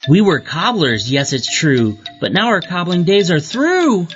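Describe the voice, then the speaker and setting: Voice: mid-range voice, sing-song, unhurried, voice pushed up. A narrator voicing tiny, merry, industrious elves in a sing-song rhyming storybook chant. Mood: joyful. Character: two tiny, merry, industrious elf cobblers chanting rhymes. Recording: muffled lo-fi audio with music behind.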